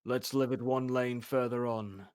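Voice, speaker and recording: Reader voicing a terse male monster hunter; low, quiet, clipped. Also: fairly even intonation, unhurried, smooth, close to an everyday voice. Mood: dry. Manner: terse, subdued.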